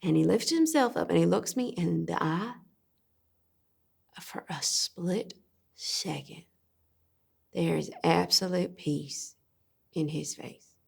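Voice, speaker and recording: Young actress as weary abused daughter, gravelly creak, unhurried halting monologue. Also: female voice, on the low side, expressive, exaggerated voice. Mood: halting, pained. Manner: halting, deliberate storytelling. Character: abused daughter, weary and protective, recounting trauma. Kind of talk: dramatic stage-style monologue.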